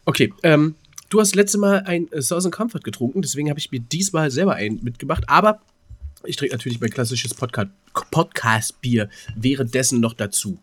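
A man's voice: medium pitch, fairly quick. A man, chatting casually and colloquially as a podcast co-host, with plenty of slang.

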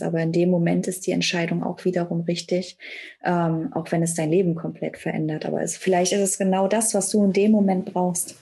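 Supportive woman host, mellow voice. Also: on the low side. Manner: supportive, affirming interjections. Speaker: podcast host. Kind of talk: casual conversational interview.

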